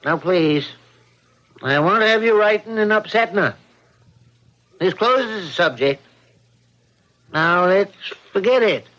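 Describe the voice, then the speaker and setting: An actor playing a skeptical middle-aged husband in an old radio drama, measured, firm and patronizing. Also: male voice, sits high, lively intonation, a bit bright, muffled recording, a little hiss or hum, full character voice. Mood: firm, dismissive. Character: housewife's skeptical, dismissive husband, soothing but firm.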